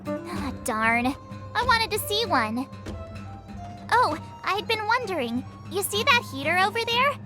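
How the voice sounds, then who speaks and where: A woman voicing a curious, excitable teenage girl traveler in a video game, talking casually over music. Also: extremely high pitch, unhurried, heavily acted. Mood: puzzled, chatty. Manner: excitable, casual, wide-eyed.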